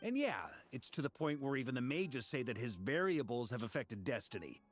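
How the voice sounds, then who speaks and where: A man's voice: medium pitch, sing-song, brisk, soft background music. An actor playing a confident, easygoing male knight commander in a video game, giving informal, rousing encouragement. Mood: rallying, resolute. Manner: informal, rousing pep-talk delivery.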